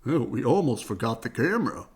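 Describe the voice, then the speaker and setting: A reader voicing an excitable, overconfident father bear from a children's storybook, with grand, enthusiastic delivery. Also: medium pitch, lightly acted. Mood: hearty. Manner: grand, enthusiastic, drawn-out dreamy descriptions.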